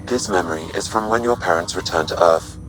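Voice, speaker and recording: An actor voicing a calm, synthetic AI companion that speaks in an even, measured way. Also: low-pitched, poor audio. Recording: game audio with faint noise.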